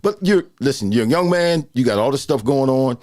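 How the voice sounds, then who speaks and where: A man in his fifties hosting a casual studio interview, loud, playful and talkative. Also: mid-range voice, lively intonation, brisk, no room sound. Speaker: podcast host. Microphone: studio mic.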